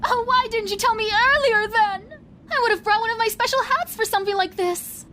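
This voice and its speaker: A woman's voice: very high voice, fairly quick, sharp tone, a little hiss or hum. A woman playing a vain, carefree young woman in an audio drama, with a breezy, teasing, theatrical delivery. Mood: dramatic, fussy.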